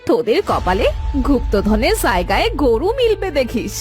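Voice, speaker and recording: A woman playing a teasing, scolding village aunt in a cartoon, nagging and mocking foolish youngsters in a drawn-out way. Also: a little high, very animated, darkish tone, soft background music.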